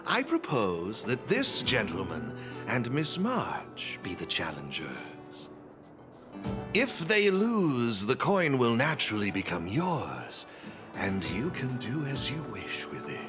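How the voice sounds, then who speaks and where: Theatrical masked gentleman, wildly swooping delivery. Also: medium pitch, huge pitch swings, slow. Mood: suave. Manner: formal, courteous, theatrical, showman-like. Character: flamboyant masked gentleman, smooth event sponsor and gaming enthusiast. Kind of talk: theatrical video game dialogue. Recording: background music throughout.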